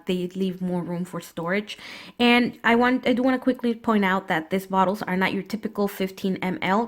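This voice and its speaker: A woman with a stuffy, cold-blocked voice, chatting casually through a nail polish review with plenty of "you know" and "uh". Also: on the high side. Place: indoors at a table.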